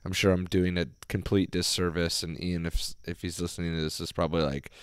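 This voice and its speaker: A man hosting a podcast, talking casually and hoarsely with his voice nearly gone, rambling with fillers and restarts. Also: low-pitched, level tone, a little fast, no echo, clean studio sound. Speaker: podcast host. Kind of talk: casual solo podcast talk.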